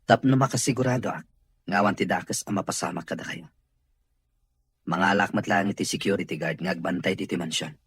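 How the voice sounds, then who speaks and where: A man's voice: low-pitched, a little fast, husky, no echo. A man with a Filipino accent playing a firm, protective, authoritative boss of a mansion in an audio drama. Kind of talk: Ilocano audio drama acting.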